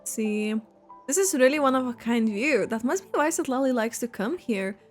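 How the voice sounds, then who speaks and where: A woman giving casual, expressive live reactions as she plays a game, with a giggly manner. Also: a little high, normal speed. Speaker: gaming reaction streamer. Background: game music.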